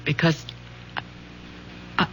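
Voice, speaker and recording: A woman's voice: drawn-out words, a little hiss or hum. An actress playing a lonely, bitter middle-aged mother, earnest and dramatic, with an American accent in old-time radio style. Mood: fragile, despairing.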